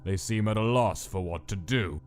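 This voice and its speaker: A man voicing a cold, clinical scientist who dictates experiment notes in a measured, detached, deliberate way for a comic dub. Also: lowish voice, lightly acted. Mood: calculating. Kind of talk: scripted comic dub, log-style narration. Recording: voice under prominent music.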